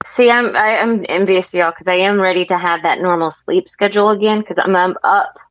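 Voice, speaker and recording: A woman talks casually. Her voice is fairly low, and the recording is dry and a little dull. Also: a little fast, dry recording. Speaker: parent of a newborn. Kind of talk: casual chat.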